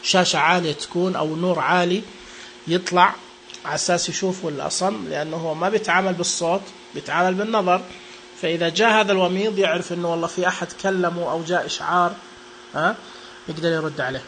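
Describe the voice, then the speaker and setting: A young man talks through phone settings in a quick, chatty way, joking as he goes. His voice sits high and his pace runs fast. A faint noise floor hums under the recording. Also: steady tone, a bit bright. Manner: chatty and joking, repeats what the screen reader says. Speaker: tech reviewer demonstrating phone accessibility settings. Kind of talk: casual spoken walkthrough.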